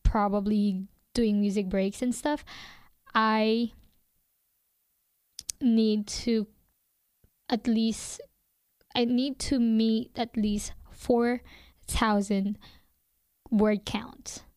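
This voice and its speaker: A young woman hosts her own radio show, speaking English with a noticeable foreign accent. Her fairly high voice is smooth and clean, and she reflects aloud at an easy pace, full of fillers and restarts. The recording is dry and close, with no room sound. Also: steady tone, drawn-out words, long pauses, darkish tone, no echo. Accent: non-native English accent. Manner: hesitant, many fillers and restarts. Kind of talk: reflective casual monologue.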